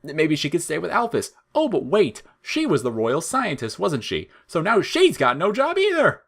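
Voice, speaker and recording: A taunting little flower creature, pitched well up from a low natural voice and swooping higher when it sneers. It is childish, theatrical and smugly mocking, made for audio fiction. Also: male voice, wildly swooping pitch, moderate pace, vocal fry, a bit bright, exaggerated voice. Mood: smug, cruel. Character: taunting, smirking, childish talking flower monster, male.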